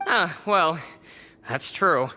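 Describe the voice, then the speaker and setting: A woman's voice: on the low side, expressive, drawn-out words, sharp tone, a little hiss or hum, full character voice. A woman playing a sardonic, chronically ill prisoner in a game, with a dry, blunt, needling manner. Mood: teasing. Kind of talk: English game dub acting.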